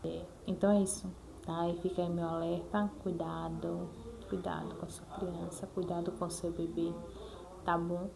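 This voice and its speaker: A woman's voice: fairly low pitch, little pitch movement, moderate pace, vocal fry, crowd noise, rough recording. A Brazilian woman talking casually and earnestly to viewers as a mother, repeating herself and adding frequent check-in tags. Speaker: mother sharing her experience in a vlog. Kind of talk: casual personal talk to viewers.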